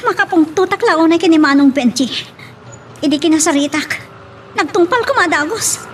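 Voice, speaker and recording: A woman's voice: very high voice, normal speed, recorded from a distance, a little hiss or hum. A woman playing a bossy, spoiled, scheming young madam in an Ilocano radio love drama.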